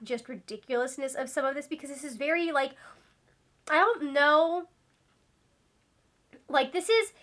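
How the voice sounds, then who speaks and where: A woman's voice: high voice, sharp tone. A young woman chatting casually about a book she just read, rambling with lots of fillers and self-corrections. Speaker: book reviewer. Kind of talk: casual book review chat.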